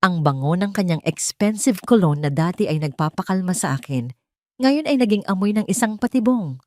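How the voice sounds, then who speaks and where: A woman's voice: on the low side, expressive, a little fast, dry recording, studio quality. A woman storyteller reading dramatic, scripted first-person narration.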